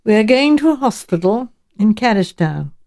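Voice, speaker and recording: A woman reading as a courteous, mellow English gentleman professor. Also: fairly high pitch, big pitch swings. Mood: frank, grave. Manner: measured, courteous, direct.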